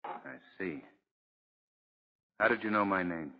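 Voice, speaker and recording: An actor playing an undercover sleuth who poses as an admiring fellow convict, in 1940s radio crime drama style. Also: male voice, low voice, takes it slowly. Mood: guarded. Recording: dull vintage radio recording.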